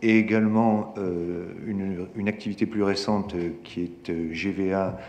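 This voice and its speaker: A man giving a formal business presentation as a panelist, speaking in a halting, hesitant way. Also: sits low, fairly even intonation, a little mellow. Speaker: corporate panelist. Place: large conference room at a forum. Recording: echoey hall, reverberant, some noise.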